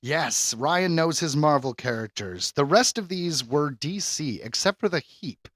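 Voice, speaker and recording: A man's voice: a little high, animated, a little slow, fairly bright tone, no room sound, clean studio sound. A man hosting a pop culture quiz on a podcast in a casual, chatty style, announcing questions and verdicts theatrically. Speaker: podcast host and quizmaster.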